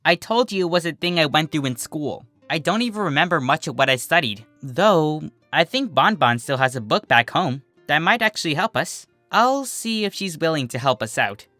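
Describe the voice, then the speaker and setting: Narrator voicing an exasperated, bright-toned female cartoon unicorn. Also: medium pitch, expressive, normal speed, hardly any pauses, no echo, faint music behind, pitched above the performer's own voice.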